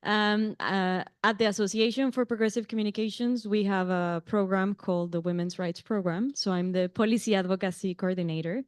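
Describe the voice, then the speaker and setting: A native Spanish-speaking woman from Mexico, with a Mexican accent, opens a conference panel in English. Her voice is smooth and clear, with a dry, close sound, and she keeps the tone friendly with light laughs, frequent ums and small restarts. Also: medium pitch, steady tone, brisk, hardly any pauses, dry recording. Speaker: panel moderator. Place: conference venue.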